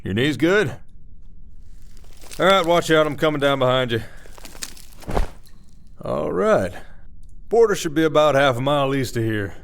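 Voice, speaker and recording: A man's voice: a little high, expressive, unhurried, pauses often. Man acting werewolf sheriff, folksy, wry, weary, protective, audio roleplay. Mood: hushed, careful. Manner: folksy, dry-humored, rambling explanations.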